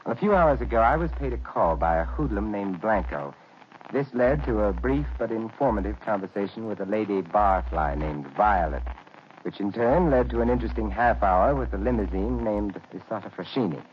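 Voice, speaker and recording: An actor playing a suave gentleman detective in an old radio drama, wry and unhurried. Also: on the low side, animated, close to an everyday voice. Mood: amused, probing. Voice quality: smooth, dryly witty, unhurried. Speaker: lead detective. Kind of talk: 1940s radio acting. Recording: dull old radio recording, faint hiss.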